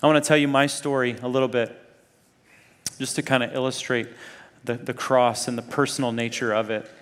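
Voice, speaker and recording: A man's voice: medium pitch, flat delivery, brisk, a bit bright. A man preaching a sermon to students in a conversational, earnest way, often putting rhetorical questions to his listeners. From Zambia and Kenya. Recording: long hall reverb.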